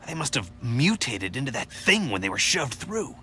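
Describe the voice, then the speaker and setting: An actor voicing a brash young male superhero scientist in a video game, talking in a quick, sarcastic and urgent way. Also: mid-range voice, big pitch swings, a little hiss or hum. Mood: tense. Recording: game cutscene with loud score.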